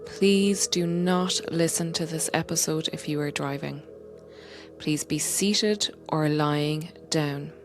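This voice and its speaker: Very slow, gentle woman guiding meditation. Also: low-pitched, faint music behind. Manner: gentle, unhurried, often dropping to breathy whisper. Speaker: meditation guide and coach, podcast host. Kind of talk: guided meditation, soothing slow instruction.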